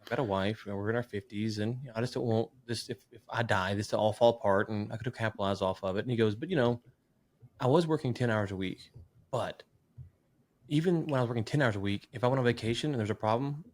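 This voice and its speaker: Fast-talking man in his late thirties. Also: fairly low pitch. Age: late 30s. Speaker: podcast host. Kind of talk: conversational business interview.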